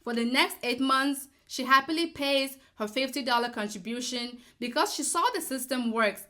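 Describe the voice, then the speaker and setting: A woman's voice: high-pitched, normal speed, bright, forceful tone. A woman from Cameroon, a personal finance educator, giving a scripted, persuasive explainer full of rhetorical questions.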